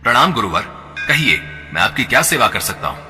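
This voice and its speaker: A man's voice: mid-range voice, sing-song, brisk, bright, forceful tone. A man voicing a loyal young prince in a devotional cartoon, talking in an earnest, respectful way. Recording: long reverb with background music.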